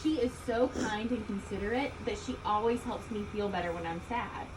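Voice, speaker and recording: A woman reading a children's story aloud, expressively, with deliberate emphasis on key words. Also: medium pitch. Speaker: storybook reader. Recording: distant mic, loud background ambient noise.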